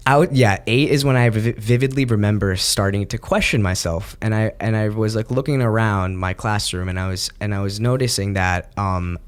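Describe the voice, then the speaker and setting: A young man from the United States, a podcast guest, telling his story in an earnest, reflective and halting way. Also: on the low side. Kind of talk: casual interview.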